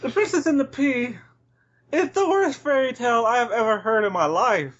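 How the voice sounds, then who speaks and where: A woman's voice: on the high side, normal speed, lo-fi sound. A woman co-hosting a podcast, bantering casually about a film with blunt, exasperated sarcasm. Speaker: podcast co-host.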